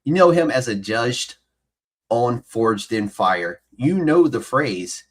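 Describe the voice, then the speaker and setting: A man's voice: medium pitch, expressive. A man hosting a podcast, who reads a dramatic scripted intro and then chats casually with his guest. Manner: dramatic, measured delivery in the intro. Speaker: podcast host.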